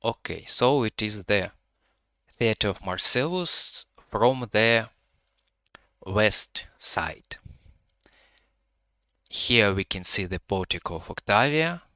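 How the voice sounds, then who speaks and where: A man with a Russian-Ukrainian accent guiding a live virtual tour, speaking halting English with many pauses to find his words. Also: fairly low pitch, expressive, pushed and bright, no echo. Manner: hesitant, pausing to find words; halting non-native English with frequent fillers.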